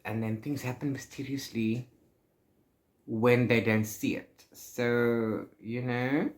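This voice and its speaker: A book vlogger hosting a read-along, talking casually and informally in a halting, rambling way with self-corrections. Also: medium pitch, fairly even intonation. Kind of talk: casual, rambling spoken vlog.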